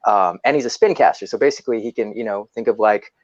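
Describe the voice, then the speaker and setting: A man guesting in a casual chat, explaining his methods in a rambling, halting way, full of fillers and restarts. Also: fairly low pitch, darkish tone, no room sound, lo-fi sound. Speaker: guest customizer.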